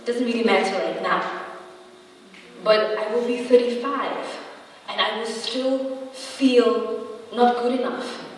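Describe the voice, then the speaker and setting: A woman's voice: on the high side, fairly even intonation, slow pace. A woman in her twenties giving a chatty, humorous motivational talk to an audience, often checking in with tag questions. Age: 20s. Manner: humorous, laughs at own jokes. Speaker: motivational speaker. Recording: echoey hall, voice from far off.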